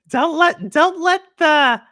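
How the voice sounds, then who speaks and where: A woman's voice: very high-pitched, fairly bright tone, no echo, studio quality. A woman from Texas with a Texan accent, chatting casually on a live stream as host, reading chat and laughing.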